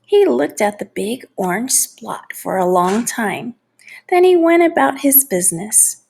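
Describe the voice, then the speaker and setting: A woman reading a children's story aloud expressively and deliberately, lingering on key words. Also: a little high, sing-song, gravelly, darkish tone, husky. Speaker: storybook reader.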